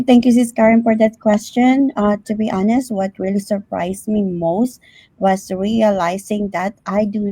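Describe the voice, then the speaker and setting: A woman with a Filipino accent answers as a guest in a live online chat. Her voice is mellow and gravelly with fry, and it sounds close and dry. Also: medium pitch, dry recording, rough recording. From Philippines. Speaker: interview guest.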